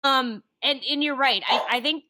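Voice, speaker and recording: A woman in her fifties speaks with a big, forthright voice that rings bright and forceful. She talks openly and directly in an easygoing interview. The sound is close and dry. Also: sits high, normal speed, no echo. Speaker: guest, tech founder and author. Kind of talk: casual interview conversation.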